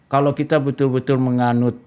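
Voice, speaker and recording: A man's voice: medium pitch, level tone, a little fast, mellow, smooth. A man with an Indonesian accent hosting a casual interview, explaining his views at length and often checking for agreement. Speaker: talk show host.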